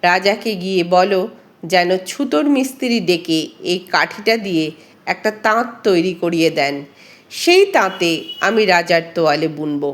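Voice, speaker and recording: A woman voicing a clever, calm seven-year-old peasant girl in a folk tale, reassuring and confident. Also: lowish voice, moderate pace, natural voice.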